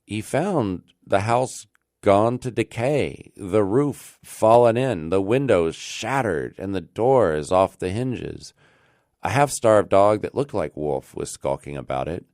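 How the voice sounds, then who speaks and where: A man with a low-pitched American voice narrates an old story in a dry, close recording. His delivery is deliberate and expressive, leaning into key words for dramatic emphasis. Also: dry recording. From United States. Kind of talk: scripted narration of a classic tale.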